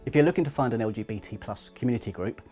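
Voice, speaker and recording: A man's voice: mid-range voice, very fast, warm tone. A man from a support organisation explaining a service in an informational interview style. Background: background music.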